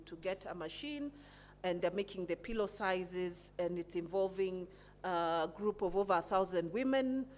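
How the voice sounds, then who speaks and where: A woman giving a slide presentation in halting, hesitant phrases. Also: lowish voice. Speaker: conference presenter. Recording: clear background noise and hum.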